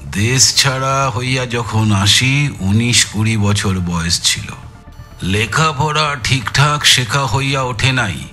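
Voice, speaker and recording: A man's voice: mid-range voice, sharp tone. A man playing a shrewd, teasing older amateur detective in an audio drama, drawling and musing with thoughtful hums. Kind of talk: dramatised audio story acting.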